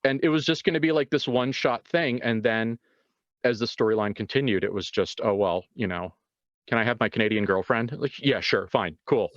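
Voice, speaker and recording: A man talking casually and reflectively as a game master, in halting phrases with emphatic asides. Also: medium pitch, a bit bright, dry recording, lo-fi sound. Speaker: game master and writer of an actual-play show. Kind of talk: casual reflective discussion, answering questions.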